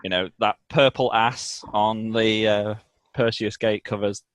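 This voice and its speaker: A man's voice: on the low side, steady tone, measured pace, dry recording. A man chatting casually as a podcast guest, jokey and somewhat hesitant in his delivery. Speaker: cover artist, podcast guest. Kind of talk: casual group podcast chat.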